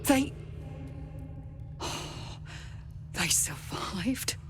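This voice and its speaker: An actress playing a grave, dignified clan elder in a video game, solemn, formal and halting. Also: female voice, mid-range voice, sing-song, background noise, full character voice. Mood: shaken. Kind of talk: scripted video game dialogue.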